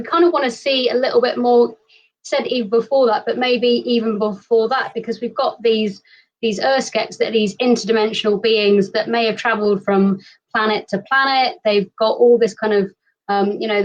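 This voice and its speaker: A British woman hosting a casual online livestream chat over a video call. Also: mid-range voice. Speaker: host of an online fan convention. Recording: dull, lo-fi online call audio.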